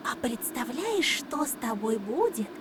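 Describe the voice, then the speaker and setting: A woman voicing a mysterious, teasing young girl in a fox mask in a game, with a high, lilting, sing-song delivery. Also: big pitch swings, normal speed, noisy background. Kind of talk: game voice acting.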